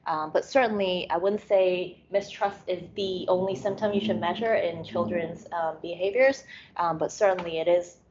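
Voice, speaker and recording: A woman from Hong Kong talks through her slides in a measured lecture, her voice sitting a little low. She pauses often and fills gaps as she thinks. The recording sounds muffled and lo-fi. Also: fairly even intonation, noisy background. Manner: measured, many fillers and restarts. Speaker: academic speaker. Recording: muffled lo-fi audio.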